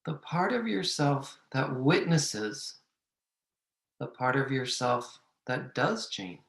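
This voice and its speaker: A man leading a guided meditation, giving gentle, measured instructions with long pauses. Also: mid-range voice, expressive, creaky. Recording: echoey room.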